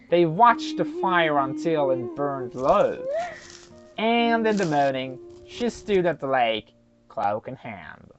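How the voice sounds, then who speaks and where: Storyteller doing a folktale, slow n animated, slightly dull audio, faint music. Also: medium pitch. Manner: expressive, with pauses. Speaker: storyteller, narrator. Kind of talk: dramatic folktale narration. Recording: slightly muffled, soft music underneath.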